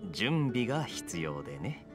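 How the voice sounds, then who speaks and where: Suave young game knight: lowish voice, teasing, confident, expressive pitch. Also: male voice, unhurried, music in the background. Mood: cool, amused. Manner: smooth, casually confident, teasing. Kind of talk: video game voice acting.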